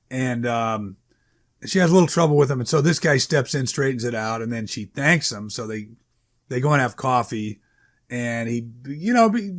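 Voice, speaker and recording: A man with a mid-range voice talks off the cuff as an author introducing his own work. His delivery is casual and halting, rambling along with frequent hedges and self-corrections. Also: medium pitch. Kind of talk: informal unscripted introduction, casual talk.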